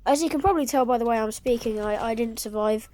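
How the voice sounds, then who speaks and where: A woman's voice: fairly high pitch, quick pace, warm tone, slight background noise. A woman with a sore, congested throat, giving dry, self-deprecating voice-over commentary on her gameplay clips. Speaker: gaming video creator.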